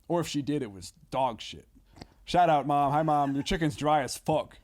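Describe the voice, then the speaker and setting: A man's voice: a little high, moderate pace, darkish tone. A man chatting casually on a comedy podcast, hesitant and rambling, often backtracking on what he says.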